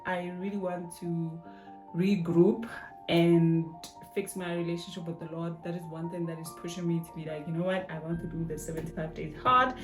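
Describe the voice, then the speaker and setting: Woman in her thirties, lowish voice, casual slangy vlog chat, echoey bathroom, faint music underneath. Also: steady tone, moderate pace. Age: 30s. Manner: chatty, addresses viewers as "guys", slangy "yo". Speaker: vlogger.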